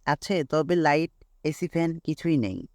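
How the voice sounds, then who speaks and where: A man's voice: fairly high pitch, expressive, unhurried, dry recording, clean studio sound. A man playing a matter-of-fact hotel manager in a ghost-story cartoon. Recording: faint noise with night insects.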